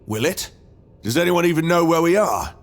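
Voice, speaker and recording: A man's voice: on the high side, animated, moderate pace. A man playing an injured, distressed and sceptical spacecraft captain in an audio drama. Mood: confused, doubtful.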